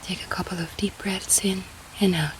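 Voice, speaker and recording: A woman leading a guided sleep meditation in a soft, breathy, half-whispered, soothing manner. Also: fairly low pitch, fairly even intonation, normal speed, slight background noise, rough recording. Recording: faint rain sound behind. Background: soft rain.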